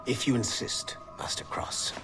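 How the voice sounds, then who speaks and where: A man's voice: measured pace, whispered, loud music behind the voice, close to an everyday voice. A man playing a formal, haughty Templar servant in a video game, clipped and superior. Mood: cool disdain. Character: haughty, formal male elite Templar servant, coolly obedient. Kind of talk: video game cutscene acting.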